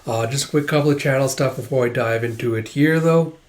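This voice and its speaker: A man with a hoarse voice from a cold, hosting a manga read-through channel and chatting casually, rambling with many fillers. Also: medium pitch, brisk. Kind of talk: casual chat to camera. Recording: roomy echo.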